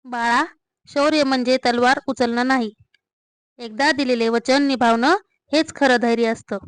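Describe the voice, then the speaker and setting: A woman plays a dignified royal mother in a children's cartoon story. Her voice is high, and she gives gentle, solemn counsel. The sound is close and dry. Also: fairly even intonation, a little slow, clean tone, dry recording, natural voice. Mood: tender, solemn. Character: wise, dignified royal mother advising her young son.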